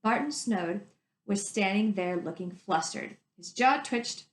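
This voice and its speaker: A woman's voice: mid-range voice, animated, measured pace, echoey room. A woman reading a storybook aloud as a teacher, in a narrating style with casual wording.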